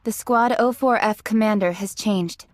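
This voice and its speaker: A woman's voice: mid-range voice, moderate pace, no room sound, clean studio sound, soft background music, natural voice. Composed, curt female squad leader, acted. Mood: firm. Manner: formal, clipped, composed. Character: businesslike young female android squad leader, dutiful and curt. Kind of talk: English video game voice acting.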